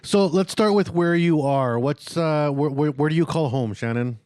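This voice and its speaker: A man with a smooth, resonant voice hosts a podcast interview in a loose, rambling way. The sound is dry and close, with lots of fillers and chuckles. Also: on the high side, moderate pace, a little mellow, no echo. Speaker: podcast host. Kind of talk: casual interview hosting.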